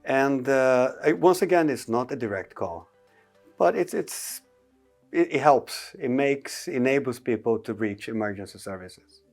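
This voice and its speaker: A man's voice: mid-range voice, lively intonation, measured pace, soft background music. A man giving an informational presentation on emergency call rules, explaining step by step with frequent hesitations.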